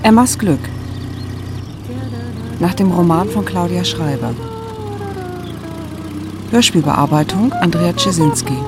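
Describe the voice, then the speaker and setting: A woman's voice: lowish voice, slow, long pauses. A woman reading a scripted title announcement for a radio play. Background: music.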